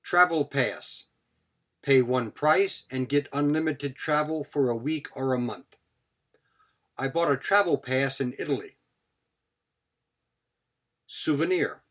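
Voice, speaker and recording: Guy teaching english words, really slow, little clipped phrases, bit of a husky voice. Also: medium pitch, fairly bright tone. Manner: short, clipped phrases with example sentences. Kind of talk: scripted vocabulary lesson.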